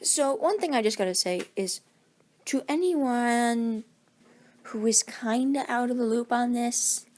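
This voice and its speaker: A woman talks casually and at length, rambling and poking fun at herself. Her voice sits fairly high but has a gravelly, groggy texture. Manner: chatty, rambling, self-deprecating. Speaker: hobby voice actor, channel owner. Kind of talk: casual rambling vlog chat.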